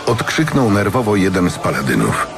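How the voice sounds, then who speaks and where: A man narrating a dramatic fantasy audiobook from a script in a measured, solemn manner. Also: sits low, big pitch swings, creaky, music in the background.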